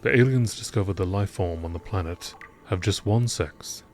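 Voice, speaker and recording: A man's voice: deep voice, normal speed, darkish tone. Man narrating like a nature documentary, noisy background. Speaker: narrator reading game lore entries. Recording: clearly noisy background ambience.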